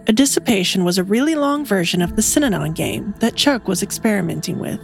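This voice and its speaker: A woman narrates a documentary podcast in a measured, even storytelling manner. Her pitch sits in an ordinary middle range. Music runs clearly beneath her voice.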